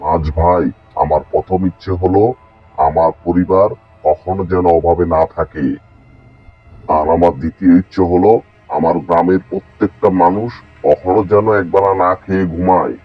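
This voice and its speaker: A man's voice: sits low, fairly even intonation, normal speed, no echo. A man playing an honest, kind village fisherman in a cartoon folk tale, earnest and questioning. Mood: calling, earnest. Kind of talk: animated folk tale acting. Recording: dull lo-fi sound, music behind.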